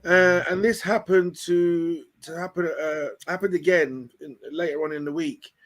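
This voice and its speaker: Hoarse British man, a bit high. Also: steady tone, normal speed. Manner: rambling storyteller, many fillers and restarts. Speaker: founder of the channel, guest. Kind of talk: casual chat on a video call show.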